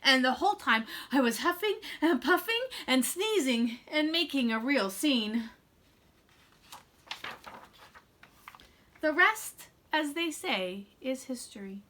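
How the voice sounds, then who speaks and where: Woman as an animated, self-justifying storybook wolf. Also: high-pitched, heavily acted. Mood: indignant. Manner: theatrical, drawn-out emphasis, conversational asides. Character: self-justifying male wolf telling his side, folksy and dramatic. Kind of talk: animated storybook read-aloud for children.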